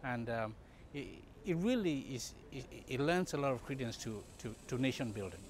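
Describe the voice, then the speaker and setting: A man's voice: mid-range voice, expressive, moderate pace. Hesitant Cameroonian-born man being interviewed. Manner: hesitant, many fillers and restarts. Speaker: congress participant, medical company CEO. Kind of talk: interview answers. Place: conference event.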